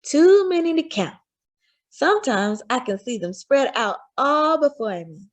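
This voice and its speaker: A woman voicing a loving, patient mother who gently reassures her young son in a children's storybook read-aloud. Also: high voice, big pitch swings, a little slow, pitched above the performer's own voice, exaggerated voice. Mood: hushed, wistful.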